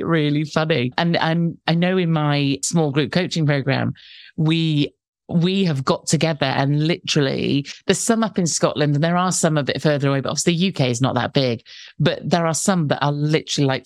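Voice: medium pitch, dry recording, studio quality. A host from the United Kingdom asking a guest questions in a friendly, conversational way. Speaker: podcast host. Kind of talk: conversational interview.

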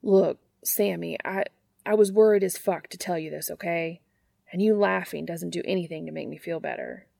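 Woman voicing a blunt, exasperated older brother, creaky voice. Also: high voice, fairly even intonation, normal speed, dark tone, no echo, exaggerated voice. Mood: sheepish, earnest. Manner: sweary, blunt, sardonic. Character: gruff, exasperated older brother, sarcastic but soft-hearted.

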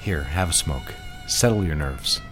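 A man playing a smooth, patronizing ad executive boss in a period office drama, assured and condescending. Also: very deep voice, expressive, unhurried, a bit bright, soft background music, exaggerated voice. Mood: teasing, needling.

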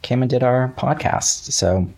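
A man's voice: medium pitch, a little slow, a little mellow. A man chatting casually as an interview guest, a writer who talks in stops and starts and often acts out bits of past conversations. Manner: chatty, hesitant false starts, quoting himself conversationally.

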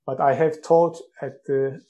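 Measured man, mellow voice. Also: mid-range voice, fairly even intonation, muffled recording. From Turkey. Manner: measured, explanatory, with some restarts. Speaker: guest, company founder. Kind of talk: interview answers.

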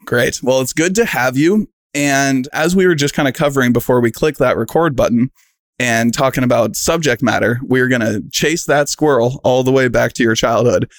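A man's voice: mid-range voice, normal speed, no room sound. Man hosting a podcast, dry sound. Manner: rambling, loosely phrased questions. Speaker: podcast host. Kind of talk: casual interview chat.

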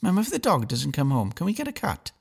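A man voicing a quiet, distracted six-year-old girl in a read-aloud story, speaking softly. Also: a little high, huge pitch swings, brisk, creaky, slightly rough, no room sound, clean studio sound, pitched above the performer's own voice, heavily acted. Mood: wistful.

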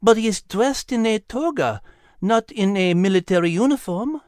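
A woman playing a polite, prim, quick-witted French cat in an audio drama, with a French accent and a high voice. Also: expressive, a little mellow, no room sound, studio quality, lightly acted. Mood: puzzled. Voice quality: high-pitched. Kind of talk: audio drama character acting.

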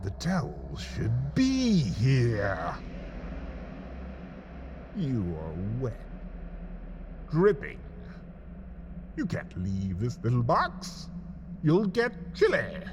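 High voiced guy reading a comedy horror story super dramatically, slow w long pauses, bit of noise behind. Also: huge pitch swings. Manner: theatrical, melodramatic, with dramatic pauses. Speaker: writer and narrator of a comedy story podcast. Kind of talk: scripted second-person comic horror narration.

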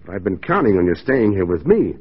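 An actor playing a blunt, self-assured mounted police sergeant in a 1940s radio drama. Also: male voice, sits low, sing-song, fairly quick, breathy edge, exaggerated voice. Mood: pressing, earnest. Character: stiff, confident young mounted police sergeant, born soldier. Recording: dull 1940s radio recording.

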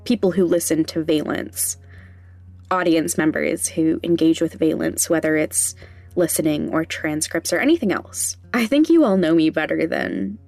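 A woman hosting a podcast, talking candidly and casually in a hesitant, halting, self-conscious way. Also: deep voice, vocal fry, darkish tone, dry recording, faint music behind. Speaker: podcast host.

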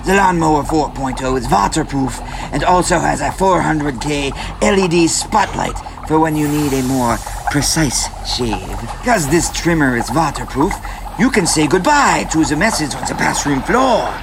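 An actor playing a pompous, earnest pitchman who speaks in formal, grandiose, slightly awkward phrases in a comic ad. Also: expressive, normal speed, vocal fry, background noise. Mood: grandly enthusiastic. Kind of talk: comic scripted ad read in character.

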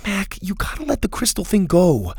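A caring, sensible young woman as a male narrator puts her on reasons gently in a raised pitch. The storybook voice is casual and persuasive. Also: wildly swooping pitch, normal speed, slightly rough, no echo, clean studio sound, heavily acted.

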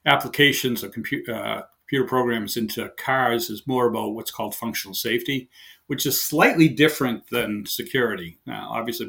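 A man with a heavy Boston accent, a guest engineer explaining his work in a casual interview, halting with many fillers and restarts. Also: fairly low pitch, vocal fry, pushed and bright. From Boston area, USA.

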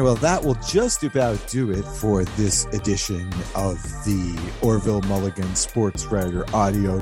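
A man with a fairly low voice presents a podcast like a disc jockey. He talks conversationally, slipping in playful asides, with music clearly playing behind him. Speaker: podcast host and co-producer.

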